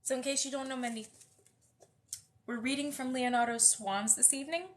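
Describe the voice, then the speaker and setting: Woman host, somewhat high-pitched, congested and nasal, loose chatty livestream delivery. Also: fairly even intonation, a little fast. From US West Coast. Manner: chatty, friendly, many fillers and restarts. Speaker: host of a live book reading. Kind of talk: casual livestream chat greeting viewers.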